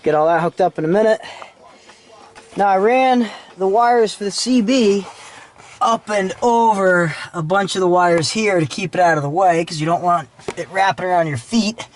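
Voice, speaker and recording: A man with a notably high-pitched voice talks through a hands-on repair job like a casual video host. He is informal and self-deprecating, with mildly salty slang. A faint noise from in and around the vehicle sits behind him. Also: moderate pace. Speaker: host of a DIY car how-to video. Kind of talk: casual hands-on tutorial narration. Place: in and around a vehicle while working on it.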